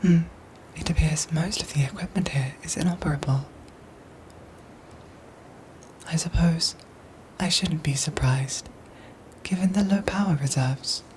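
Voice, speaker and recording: A woman voicing a gentle, dutiful android soldier in an ASMR roleplay, with soft breathy whispers and formal, measured phrasing. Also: deep voice, slight background noise, close to an everyday voice, poor audio. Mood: attentive, calm. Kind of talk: ASMR roleplay audio drama.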